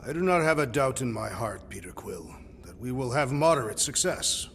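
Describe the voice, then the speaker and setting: A solemn alien warrior for a video game, speaks in formal, literal sentences like a keeper of old tales. The voice has a gravelly edge and sits in long reverb over clear background noise. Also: male voice, medium pitch, expressive, normal speed, fairly bright tone. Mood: earnest, dignified. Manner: grave, formal, storytelling delivery without contractions. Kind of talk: dramatic video game cutscene acting.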